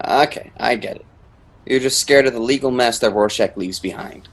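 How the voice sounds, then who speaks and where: Husky, doubting man in an acted scene. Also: medium pitch, normal speed, lightly acted. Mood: insistent. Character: skeptical, persistent man questioning an official story. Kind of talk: dramatic dialogue, fan-made play.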